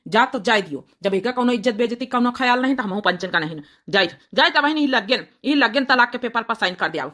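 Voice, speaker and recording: A high-pitched young village husband played by a woman in a comic cartoon. He talks with an Awadhi accent in an emphatic, wounded, repetitive way. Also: animated, a little fast, a bit bright. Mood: bitter resignation.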